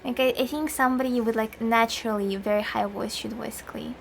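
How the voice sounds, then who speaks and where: A woman's voice: fairly high pitch, steady tone, fairly quick, creaky, a little hiss or hum. A young woman streamer giving casual, chatty commentary while playing a game, laughing often.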